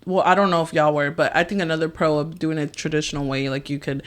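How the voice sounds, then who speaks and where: Low-voiced joking woman podcast guest. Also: fairly even intonation. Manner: joking, quick interjections. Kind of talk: casual podcast chat. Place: podcast studio.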